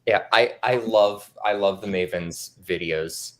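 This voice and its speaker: A man hosting a live-stream interview, talking slowly and haltingly and repeating words, heard from a distance. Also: fairly low pitch, a bit bright.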